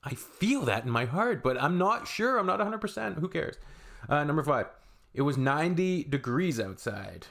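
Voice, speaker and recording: A man's voice: on the high side, very animated, moderate pace, gravelly, fairly bright tone. A man, hosting a reaction video in a loose, rambling way with shrugging asides. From Canada. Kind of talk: casual reaction commentary.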